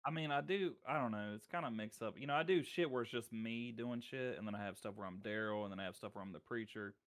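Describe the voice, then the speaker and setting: A man's voice: lowish voice, quick pace, a bit bright. A man chatting casually as a podcast guest, blunt, profane and irreverent. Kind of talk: casual chat.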